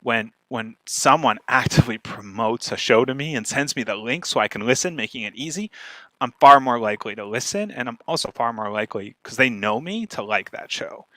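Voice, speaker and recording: Bright, forceful man talking conversationally. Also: medium pitch, lively intonation, a little fast, no echo. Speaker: guest speaker, podcaster and marketer. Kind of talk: conversational talk on podcast marketing.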